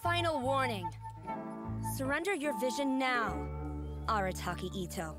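A woman's voice: high-pitched, big pitch swings, measured pace. A woman voicing a stern, commanding general in an anime game, formal in manner. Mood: stern warning. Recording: game trailer audio with loud music.

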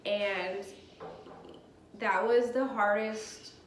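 A woman in her thirties with a stuffy cold, talking a little loud and candidly to camera in her dining room. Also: mid-range voice, fairly even intonation, very slow, roomy sound, a little hiss or hum. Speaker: vlogger. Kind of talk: personal vlog talk. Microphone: camera across the room.

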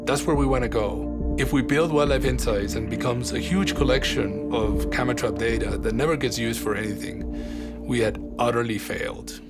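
A middle-aged man, a conservation biologist, speaking slowly and carefully in a documentary interview. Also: medium pitch, loud music behind the voice. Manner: slow, measured speech, switching to casual Spanish with a colleague.

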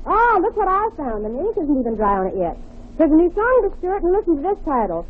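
Very high-voiced woman on old radio. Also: sing-song, quick pace. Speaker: radio show co-host. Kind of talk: old-time radio banter. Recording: dull vintage radio recording, loud music. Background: loud music with brass.

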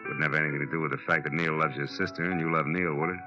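A hard-boiled American private eye with a low voice. He is cynical and wry, delivering clipped wisecracks in old radio-drama fashion. The vintage recording is muffled, with music clearly behind him. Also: fairly even intonation, rapid-fire. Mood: wry, probing. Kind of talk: 1940s radio acting and first-person narration.

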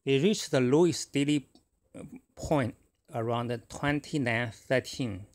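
A middle-aged man whose first language is Chinese speaks in a mid-pitched voice with a gravelly edge. He talks haltingly, stopping and restarting between fillers. He walks through a chart in a casual talk. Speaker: forex trader. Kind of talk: casual screen-recorded trading talk.

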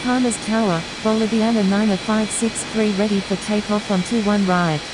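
A woman's voice: medium pitch, steady tone, moderate pace, natural voice. A woman playing a matter-of-fact airport radio voice in a simulation game, speaking clipped, formulaic aviation phrases. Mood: businesslike. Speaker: air traffic control and pilot radio voice. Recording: hissy, clearly noisy radio-style audio.

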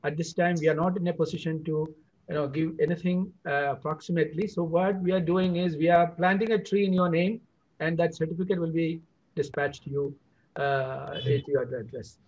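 A man's voice: a little high, steady tone, normal speed, a little mellow. A middle-aged man hosting an online conference over a video call, announcing with fillers. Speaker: event host. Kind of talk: webinar moderating. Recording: dull video call audio.

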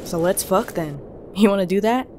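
A woman's voice: medium pitch, moderate pace, noisy background, lightly acted. A woman playing a blunt, forward young woman, direct and matter-of-fact, in a comic dub. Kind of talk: amateur comic dub acting.

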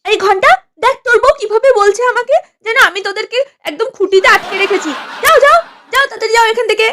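A woman's voice: very high-pitched, quick pace, heavy background noise. A woman voicing a bossy, sarcastic mother-in-law in a comedy cartoon, with a nagging, theatrical delivery. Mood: indignant. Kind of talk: exaggerated comedy cartoon acting.

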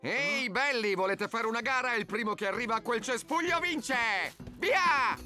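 An actor voicing a bossy, mocking little marten in a children's cartoon, excitable and cackling. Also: mid-range voice, moderate pace, faint music behind. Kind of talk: dubbed children's cartoon acting.

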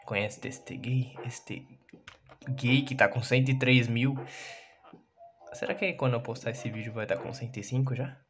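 A man's voice: medium pitch, normal speed. Playful young man filming his house tour. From Brazil. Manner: playful, self-mocking, drops to mutters then shouts. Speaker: YouTuber giving a house tour. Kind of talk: casual vlog, joking to the viewers. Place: home kitchen and bedroom, indoors. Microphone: handheld phone camera. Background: lo-fi music playing.

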